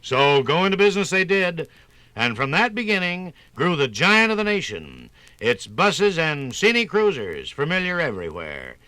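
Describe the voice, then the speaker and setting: A man's voice: on the high side, huge pitch swings, moderate pace, pushed and bright, no echo. A man narrating a scripted 1950s radio documentary in an emphatic, polished announcer's delivery. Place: radio studio.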